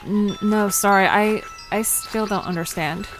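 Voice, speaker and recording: A woman playing a curious, outspoken young woman in an audio drama, informal and questioning, sometimes halting mid-sentence. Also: mid-range voice, soft background music, close to an everyday voice, rough recording. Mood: puzzled, curious. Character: Alina, curious, outspoken young woman learning about mythical creatures.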